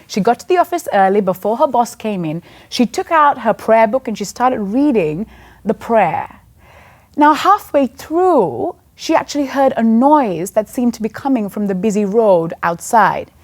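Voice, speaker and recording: A woman in her thirties from Sri Lanka speaks to a church gathering through a stage microphone. Her voice sits a little high, and her storytelling is earnest and conversational. She keeps the tone of a sermon as she recounts the story. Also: big pitch swings, moderate pace, darkish tone.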